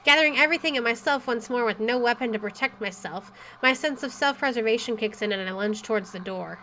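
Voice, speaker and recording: A woman game streamer with an expressive, excitable delivery, reading aloud and commenting as she plays. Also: a little high, fast talker, a little hiss or hum. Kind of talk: dramatic reading aloud and let's play commentary. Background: faint game music.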